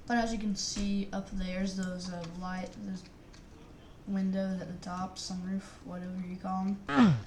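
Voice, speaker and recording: A woman's voice: mid-range voice, flat delivery, slow, long pauses, a little hiss or hum. A woman giving casual, rambling commentary on a game while she plays it. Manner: narrates on-screen action while playing, loose and rambling. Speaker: YouTuber reviewing a game mod. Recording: roomy, distant mic under loud game music.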